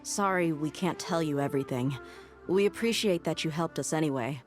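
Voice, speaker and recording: A woman's voice: low-pitched, normal speed, lightly acted. An actress playing an earnest young female adventurer in a video game. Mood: grateful. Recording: music behind the voice.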